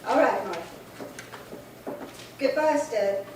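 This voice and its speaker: Western radio heroine female actor: high-voiced, worried but proud, urgent, heavy reverb over faint noise. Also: expressive, slow pace, a little mellow. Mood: defiant, curt. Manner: pleading, urgent, plainspoken. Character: anxious but defiant frontier woman. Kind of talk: old-time western radio acting. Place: little theatre stage, distant mic. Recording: very echoey hall, far from mic, faint noise.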